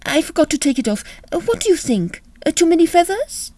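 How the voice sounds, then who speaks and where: A woman playing a lively, theatrical prima donna on tour in a period farce audio drama. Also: extremely high pitch, fairly quick. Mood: bright, fussy. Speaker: actor and narrator.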